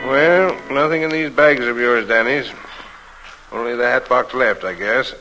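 An actor playing an affable police inspector in an old-time radio thriller, probing in a casual, unhurried way. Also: male voice, medium pitch, music in the background, natural voice, low-quality recording. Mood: casually probing.

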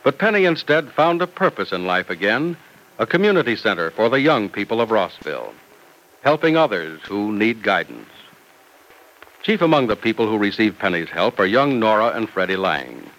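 Animated, bright vintage radio announcer man. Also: lowish voice, normal speed, low-quality recording. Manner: polished, emphatic salesmanship. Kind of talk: 1940s-50s radio announcing, scripted pitch.

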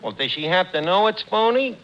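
A man's voice: sits high, animated, a little fast, fairly bright tone. An actor playing a wisecracking, slangy tavern manager who mangles his words in a New York accent, in 1940s radio comedy style. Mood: wry. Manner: slangy, wisecracking, full of malapropisms. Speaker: tavern manager, lead comic. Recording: dull, noisy 1940s radio recording.

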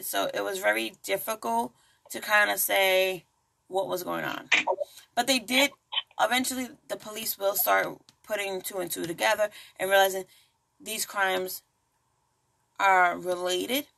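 A woman's voice: medium pitch, fairly even intonation, moderate pace, vocal fry, bright, forceful tone, poor audio. A woman hosting a true crime podcast in a casual, chatty way, full of asides and jokes. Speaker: podcast host.

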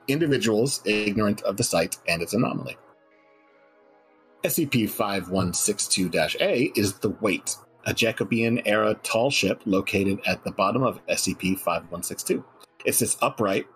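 Low-voiced man, matter-of-fact. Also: fairly bright tone, low-quality recording. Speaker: guest writer reading own fiction. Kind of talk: casual chat and document reading.

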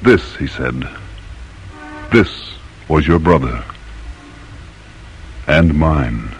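An actor playing a grave, eloquent colonial-era doctor and patriot in an old radio drama, speaking in a measured, solemn way. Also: male voice, extremely low pitch, lively intonation, faint music behind, full character voice. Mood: solemn reminiscence.

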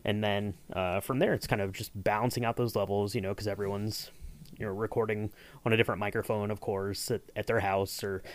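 A man's voice: fairly low pitch, level tone, a little fast. A man hosting a casual behind-the-scenes podcast, talking in a loose, hesitant, rambling way. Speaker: podcast host.

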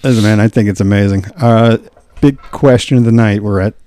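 A man's voice: fairly low pitch, steady tone, brisk, dark tone, no echo. A man co-hosting a podcast in casual chat, easygoing and agreeable, with plenty of fillers. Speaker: podcast co-host.

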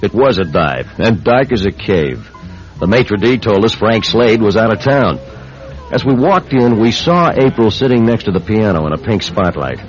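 Actor as low, animated young sleuth, dull recording. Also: male voice, moderate pace, lightly acted. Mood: wry narration. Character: Jeremy, easygoing young newspaperman turned amateur sleuth, narrating. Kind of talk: radio mystery drama acting with first-person narration. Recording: dull radio recording with music behind.

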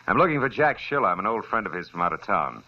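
A man's voice: lowish voice, big pitch swings, fast. An actor playing a wisecracking hard-boiled male private eye in dry, wry, laconic 1940s radio style. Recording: dull old radio recording with music.

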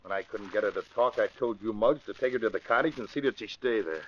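An actor playing a domineering, angry racketeer boss with a rough voice in old-time radio crime drama style. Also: male voice, lowish voice, fast talker, natural voice. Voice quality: rough, gravelly. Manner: bullying, commanding. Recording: dull vintage radio recording.

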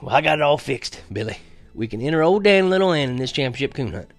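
Guy doing old country grandpa in a storybook, kindly, folksy, gets worked up, voice pushed up high. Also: sing-song, a little fast, no room sound. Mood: excited. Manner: folksy, emphatic.

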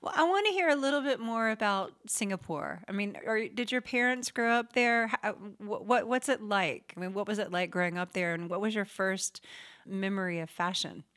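A woman's voice: medium pitch, moderate pace, a bit bright. A woman hosting an interview podcast, gushing and friendly as she greets and questions her guest. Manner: gushing, friendly, laughs often. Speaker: podcast host.

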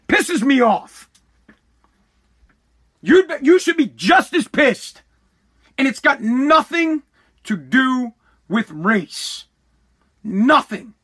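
A man's voice: very high voice, sharp tone. An American man hosting a podcast, delivering an opinionated solo rant in a combative, emphatic, repetitive and profane manner. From United States. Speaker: podcast host.

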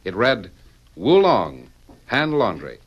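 A man's voice: fairly low pitch, huge pitch swings, slow pace, dull audio. A man narrating a radio adventure in a dramatic, declamatory 1940s style. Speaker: announcer and narrator. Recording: hissy vintage radio recording.

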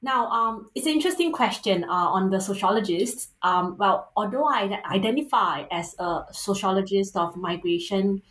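A woman's voice: mid-range voice, animated, moderate pace, hardly any pauses, fairly bright tone. Woman, singaporean accent, academic guest, thoughtful with filler words, echoey room. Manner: thoughtful, with many ums and uhs. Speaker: author and sociologist guest. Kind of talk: academic interview answers.

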